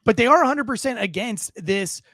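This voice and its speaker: Gravelly high-voiced man ranting. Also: expressive, a little fast, sharp tone, dry recording, clean studio sound. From United States. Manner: rambling, swearing, many restarts. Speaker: podcast co-host. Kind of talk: casual profane rant.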